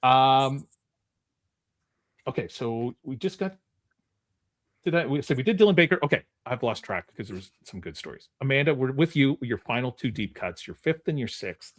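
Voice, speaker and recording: A man's voice: mid-range voice, lively intonation, normal speed, no echo. A man hosting a movie trivia game in casual chat, often breaking off and restarting his sentences as he goes.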